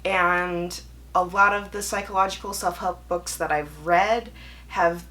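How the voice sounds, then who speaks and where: A woman's voice: fairly low pitch, fairly even intonation, a little slow, sharp tone, rough recording. A young woman talking casually and candidly in a chatty vlog monologue, correcting herself as she goes. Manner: conversational and candid, self-correcting, mimics imagined voices. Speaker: book reviewer and video host.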